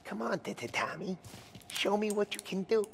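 An actor playing a good-natured car mechanic with a strong stutter, in a cinematic game scene. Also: male voice, high voice, sing-song, normal speed, heavily acted. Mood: eager, halting. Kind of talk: cinematic video game acting. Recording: clear background noise and clicks.

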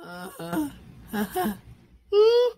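A woman voicing an excitable, goofy male adventurer turned ostrich in a theatrical amateur cartoon fan dub. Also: very high voice, slow, very noisy, exaggerated voice. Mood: panicked. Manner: exclamatory, theatrical.

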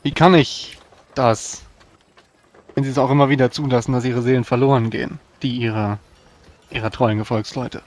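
A man acts out a bitter, impulsive hero in a fantasy tabletop game. He protests in archaic formal words, in a mid-pitched voice. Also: fairly even intonation, moderate pace, long pauses, a little mellow, natural voice. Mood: bitter, doubting. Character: impulsive, embittered male adventurer haunted by dark voices. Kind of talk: tabletop roleplay in character.

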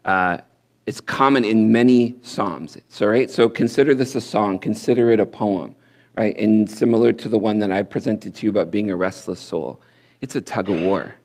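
A man's voice: on the low side, normal speed. A man in his thirties performing spoken word poetry on a church stage through a headset mic. He speaks in a rhymed, sing-song cadence that swells, then drops to near-whispers. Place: indoor church stage with curtains.

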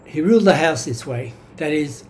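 Older Australian-accented man, mellow voice. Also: a little high, expressive, moderate pace, a little hiss or hum. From Greek-born, grew up in Australia. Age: 70s. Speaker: vlogger sharing personal history. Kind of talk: reflective monologue from notes. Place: small indoor room at home.

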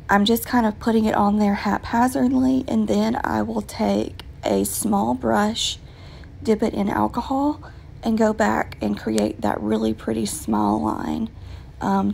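A woman with a raspy voice from a recent illness, patiently explaining her nail work step by step with frequent ums. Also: mid-range voice, a little mellow, slight background noise. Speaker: nail tutorial vlogger. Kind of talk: casual step-by-step nail tutorial voice-over.

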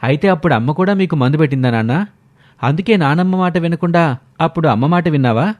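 A man's voice: a little high, lively intonation, fast talker, lightly acted. A man playing a defiant, sarcastic married son arguing in a family story. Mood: indignant. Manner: argumentative, sarcastic. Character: defiant married adult son, argumentative, defending his wife.

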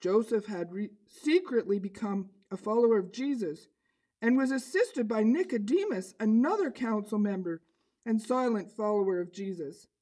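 A bold, eager disciple in a children's puppet show, played by a woman. The voice sits at a middle female pitch, with earnest, expressive storytelling. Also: normal speed, close to an everyday voice, low-quality recording. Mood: explanatory.

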